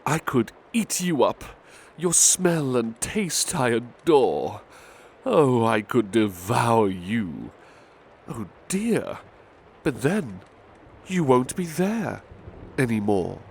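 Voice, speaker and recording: A man playing a big-hearted butler, reading poems in a measured, gentle, formal ASMR style. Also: mid-range voice, sing-song, vocal fry, exaggerated voice. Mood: measured, wistful. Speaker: ASMR roleplay performer. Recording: faint ambient background noise.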